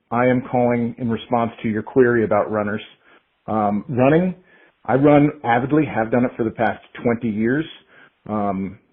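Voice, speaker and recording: A man's voice: medium pitch, husky. A man calling in with a casual, rambling message on a narrow phone line. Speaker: voicemail caller. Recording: muffled, narrow phone voicemail.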